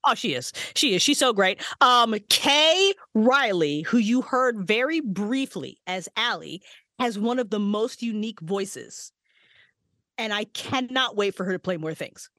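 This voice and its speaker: Profane, lively woman in casual chat. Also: medium pitch, a little slow, dry recording, studio quality. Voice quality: profane, animated, laughing often. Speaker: podcast co-creator guest.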